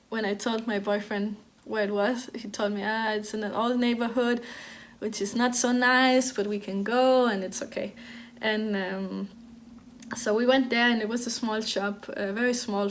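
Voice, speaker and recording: A woman in her thirties from Denmark talks casually about knitting. Her pitch stays level and flat, and she often pauses and restarts mid-sentence. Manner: hesitant, many restarts and fillers; even, flat delivery. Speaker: knitting podcast host. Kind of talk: casual chat.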